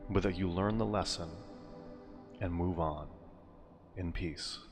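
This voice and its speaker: Male meditation narrator, very low register, calming and unhurried, pausing between phrases, drenched in long reverb. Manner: soothing, unhurried, deliberate phrasing with pauses. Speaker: hypnosis and meditation guide. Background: music.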